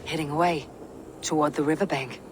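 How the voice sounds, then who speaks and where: A woman playing a steady, observant Viking warrior in a video game, terse, thoughtful and matter-of-fact. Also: low-pitched, brisk, background noise, lightly acted. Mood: focused musing. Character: steady, observant female Viking warrior leader investigating clues. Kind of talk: video game character acting.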